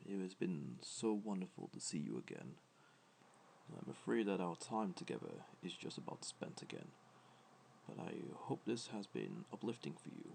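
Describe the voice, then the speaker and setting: A man acts out an ancient, kindly god in a comfort roleplay. The voice is very low and gravelly, kept soft and tender, with modest, careful phrasing. A faint wash of noise sits behind it. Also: big pitch swings, moderate pace, exaggerated voice. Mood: tender, reassuring. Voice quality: low, soft, creaky, breathy at times.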